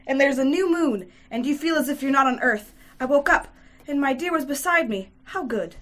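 A woman's voice: high voice, animated, normal speed, a bit bright, voice pushed up. A woman voicing a tender, dreamy young woman in a dramatic novel reading. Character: Grushenka, tender young Russian woman waking dreamily from sleep.

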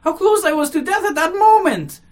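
A woman's voice: very high-pitched, expressive, brisk, voice pushed up. A woman voicing a hysterical, indignant, self-dramatizing society lady in a classic novel reading, exclaiming and rambling in agitation. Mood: agitated, astonished.

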